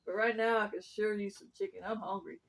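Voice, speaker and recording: A woman's voice: medium pitch, fairly even intonation, fairly quick, gravelly. Woman voicing a folksy, hungry farm dog. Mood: hungry, sheepish. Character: hungry, affectionate, guilty-minded farm dog.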